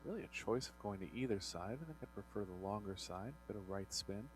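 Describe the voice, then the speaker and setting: A man's voice: lowish voice, a little fast. A man giving analytical co-commentary on a billiards match in clipped, matter-of-fact technical remarks. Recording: broadcast with background mains hum.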